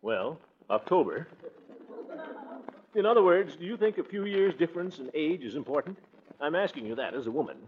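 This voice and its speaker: An actor playing a pompous, easily flustered middle-aged bachelor, blustery and hesitant in a 1940s radio comedy. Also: male voice, on the high side, sing-song, a little fast, long pauses, slight background noise. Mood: nervous, hesitant. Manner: blustery, hesitant, theatrically pleading. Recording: tinny, band-limited 1940s radio recording.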